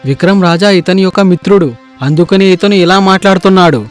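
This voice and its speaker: An obsequious, plotting minister from a cartoon folk tale, acted by a man. He speaks deferentially and persuasively in a high male voice over light music. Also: fast talker, close to an everyday voice. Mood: scheming. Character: scheming, obsequious royal minister.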